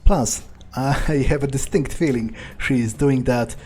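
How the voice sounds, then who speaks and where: A man's voice: mid-range voice, unhurried, a little mellow, faint music behind, lightly acted. A man playing a contemptuous, scheming vampire in a game roleplay, sneering, foul-mouthed and musing. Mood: scheming, amused. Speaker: game player staying in character. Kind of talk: in-character roleplay playthrough.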